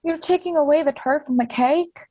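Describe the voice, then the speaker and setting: High-voiced young peasant girl, played by a woman. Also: a little fast, no echo, dull audio, close to an everyday voice. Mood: anxious. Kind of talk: stage drama read as virtual play.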